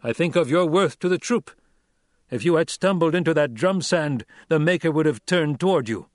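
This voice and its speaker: A stern desert chieftain with a harsh, commanding edge, as a male audiobook narrator voices him. He speaks a bit higher and louder than the narration, in a dry, close sound with no room around it. He is blunt and middle-aged. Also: lively intonation, normal speed, dry recording, close to an everyday voice. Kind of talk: audiobook character reading.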